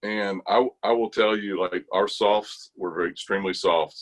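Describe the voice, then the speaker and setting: A middle-aged American man telling stories casually in conversation, animated and colloquial. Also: fairly low pitch, moderate pace. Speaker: interview guest, retired army chorus bass singer.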